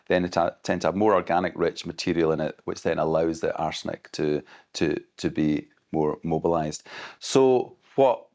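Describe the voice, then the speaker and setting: The low-voiced Scottish scientist guest on a podcast, carefully talking a listener through a complicated subject. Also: male voice, darkish tone. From Scotland. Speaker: expert guest, hydrogeologist. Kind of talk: explanatory interview conversation.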